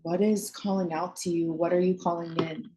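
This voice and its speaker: A woman leading a guided meditation in a soothing manner, with phrases set apart by long pauses. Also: lowish voice, fairly even intonation, mellow, slight background noise, rough recording.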